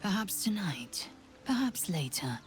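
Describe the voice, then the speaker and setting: An actress playing a fierce, battle-hardened alien warrior woman in a video game, clipped, commanding and formal, with a hushed edge. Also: medium pitch, sing-song, measured pace, faint music behind. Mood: predatory desire. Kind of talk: video game character dialogue.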